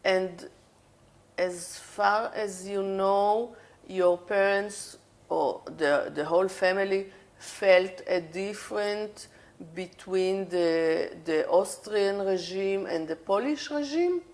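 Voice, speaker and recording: A woman's voice: mid-range voice, slow pace, darkish tone. A woman interviewer asking patient, probing questions in an oral history interview.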